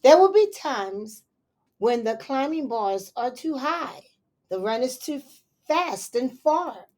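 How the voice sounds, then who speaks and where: A woman teacher reading a picture book aloud to young children, expressive and unhurried, with soft asides to her listeners. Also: high voice. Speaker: elementary school teacher.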